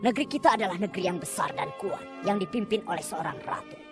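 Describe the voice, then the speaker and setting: A woman voicing a loyal, scheming royal adviser in an animated cartoon, speaking in an eager, deferential way. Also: mid-range voice, fast, close to an everyday voice. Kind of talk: cartoon voice acting. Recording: loud background music.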